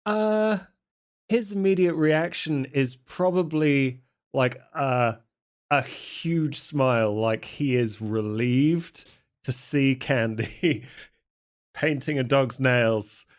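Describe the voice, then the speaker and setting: A man's voice: mid-range voice, slow pace. A man playing a tabletop game, casually improvising what his character does.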